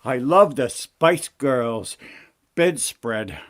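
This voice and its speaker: A man's voice: mid-range voice, lively intonation, pitched below the performer's own voice. A man voicing a brash, slangy, wisecracking male frog in a comic fairy tale. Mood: brash, pleased. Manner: slangy, brash, drawn-out words. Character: wisecracking, pushy male frog with street-guy swagger.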